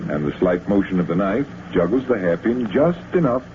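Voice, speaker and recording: An actor as a low-voiced magician on tinny old radio. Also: male voice, lively intonation, brisk, natural voice. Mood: patient, instructive. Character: Blackstone, patient confident stage magician, adult man. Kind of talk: 1940s radio acting, instructing. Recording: tinny, hissy vintage radio recording.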